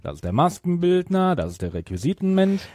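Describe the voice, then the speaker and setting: A man's voice: sits high, big pitch swings, fairly quick, dark tone, no room sound. A man co-hosting a podcast, talking casually about audio dramas. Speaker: podcast co-host.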